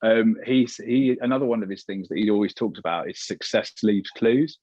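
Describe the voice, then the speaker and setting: A man's voice: fairly low pitch, moderate pace, a little mellow. A British man speaking as an interview guest and radio presenter, chatty, candid and emphatic in casual conversation. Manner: chatty, candid, emphatic, acts out imagined remarks. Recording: roomy, slightly muffled sound.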